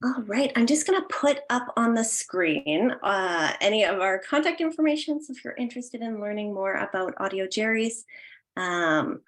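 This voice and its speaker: A woman's voice: medium pitch, animated, normal speed. A woman hosting a virtual question and comment session, encouraging and affirming as she talks, hesitantly searching for words. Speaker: host of an online audience discussion. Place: online session, remote call.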